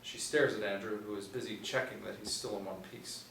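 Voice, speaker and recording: A man's voice: on the low side, steady tone, brisk, gravelly, sharp tone. A middle-aged man reading out screenplay action as a scripted narrator at a table read. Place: small indoor room, seated at table read. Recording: roomy, distant mic, noisy ambience.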